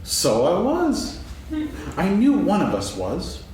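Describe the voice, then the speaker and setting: Echoey, distant stage recording. Simple-hearted storybook bear played by a man, earnest and musing, highly animated delivery. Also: slow, slight background noise, full character voice. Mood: cheerful, earnest. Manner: earnest, musing, hums in singsong. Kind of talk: staged dramatic reading of a children's story. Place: stage before an audience, seated reading.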